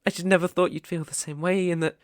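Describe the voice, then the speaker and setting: A man's voice: sits high, level tone, fast talker, no room sound, clean studio sound, lightly acted. A man with a British accent playing a devoted, proper butler in an intimate ASMR romance roleplay, polite, deferential and hesitant. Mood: shy, confessing. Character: young male butler, devoted, proper, flustered by love.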